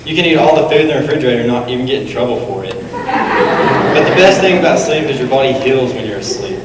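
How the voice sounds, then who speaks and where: A man's voice: medium pitch, animated, quick pace. A young man, a student, performing a readers theatre monologue in simple short statements. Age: teens to young adult. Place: school auditorium stage. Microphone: stand mic, heard from distant camera. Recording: echoey hall, distant mic, noisy.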